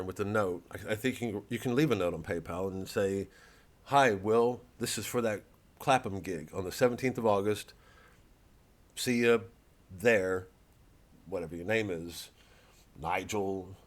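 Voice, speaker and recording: A comedian talking to camera in a rambling, profane and self-deprecating way, with many restarts. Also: male voice, on the low side.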